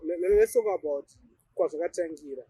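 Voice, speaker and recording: A Shona-speaking man with a Zimbabwean accent, putting casual interview questions in a halting way, repeating words as he forms them. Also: fairly high pitch, expressive, mellow, slightly rough, no room sound, poor audio.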